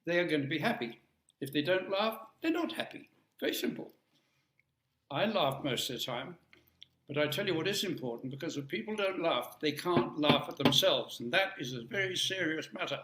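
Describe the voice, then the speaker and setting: A man's voice: fairly high pitch, expressive, moderate pace, bright, forceful tone, echoey room, slight background noise. An older man giving a chatty, joking talk to an audience, rambling with asides. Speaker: guest speaker.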